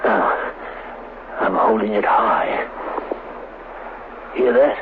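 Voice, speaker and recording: Thin, noisy old radio sound carries an obsessed scientist proud of his creation. His delivery is slow, grandiose and theatrical, at an ordinary male pitch. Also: steady tone, phone-quality sound. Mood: proud, explaining. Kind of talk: 1970s radio drama acting.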